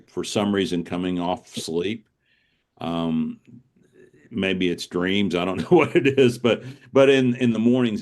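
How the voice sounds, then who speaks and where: A man's voice: low-pitched, darkish tone. A man speaking conversationally as an interview guest, explaining things and repeating words as he thinks.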